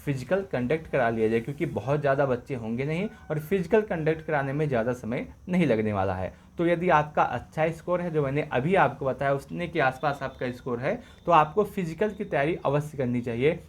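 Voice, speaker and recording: A man presenting exam updates as an informal explainer, going over points repeatedly in a reassuring way. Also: fairly high pitch.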